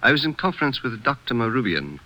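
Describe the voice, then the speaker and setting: A man's voice: mid-range voice, very animated, fast, sharp tone. A man playing a courteous retired Egyptian statesman in an old-time radio drama, with formal, gracious phrasing. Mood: courteous, grave.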